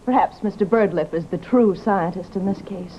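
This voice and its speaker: A woman's voice: medium pitch, normal speed, lightly acted. An actress playing a prim, aggrieved young woman in an old radio drama, with a plaintive, tart and formal delivery. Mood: indignant. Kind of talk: old-time radio horror-comedy acting. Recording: muffled, noisy old radio recording.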